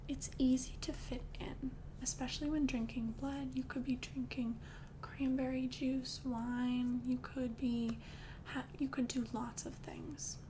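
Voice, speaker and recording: A young woman voicing a calm female vampire girlfriend in an ASMR roleplay, talking in a soft, chatty, reassuring whisper. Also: sits high, unhurried, background noise. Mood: gentle whisper. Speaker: ASMR creator.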